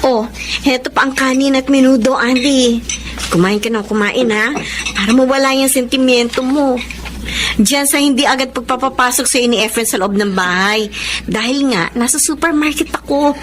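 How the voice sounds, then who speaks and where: A woman playing a warm, grateful working mother in a radio drama. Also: high-pitched, moderate pace, background noise, close to an everyday voice. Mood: apologetic, caring.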